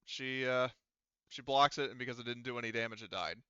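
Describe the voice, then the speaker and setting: A man's voice: mid-range voice, a little fast, pushed and bright, clean tone, no room sound, dull audio. A man acting as game master in a tabletop roleplaying game, talking casually and thinking aloud through rules and dice rolls.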